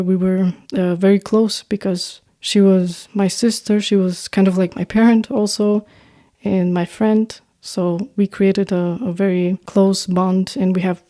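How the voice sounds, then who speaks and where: A woman with an Albanian accent, a native Albanian speaker, talking as an interview guest in a thoughtful, halting, reflective way. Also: medium pitch, mellow. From North Macedonia, Albanian family. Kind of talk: reflective casual conversation.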